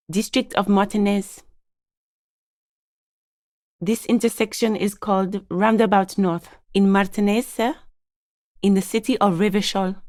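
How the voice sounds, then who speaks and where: An actress playing a guarded, matter-of-fact gardener in a video game, with an understated, polite but curt delivery. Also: female voice, mid-range voice, a little mellow, no room sound, natural voice. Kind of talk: game dialogue voice lines, understated and conversational.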